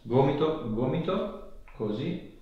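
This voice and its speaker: A man's voice: on the high side, animated, slow, highs cut off. Male chiropractor in a very echoey room. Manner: short directive phrases. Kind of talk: hands-on instructions to a patient during treatment. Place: small indoor treatment room. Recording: echoey room with faint noise.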